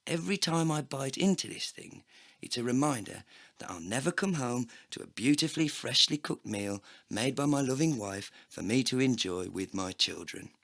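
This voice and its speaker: An actor playing a bitter, heartbroken, foul-mouthed man, narrating a scripted comedy audio play in a sardonic, deadpan way. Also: mid-range voice, moderate pace, vocal fry, dry recording, clean studio sound. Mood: ranting, despondent.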